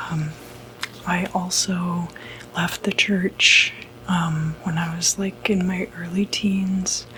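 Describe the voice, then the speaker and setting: A woman playing a gentle, reassuring doctor in an ASMR medical roleplay, speaking in a breathy, soothing whisper. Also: lowish voice, slight background noise, rough recording. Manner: soothing, unhurried, hesitant phrasing. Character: gentle, reassuring doctor who treats demonic possession.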